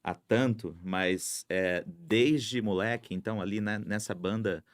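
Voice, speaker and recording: A man with a Brazilian accent hosting a relaxed talk, asking questions in a casual conversational way. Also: fairly low pitch, unhurried, dry recording, clean studio sound. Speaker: podcast host, interviewer.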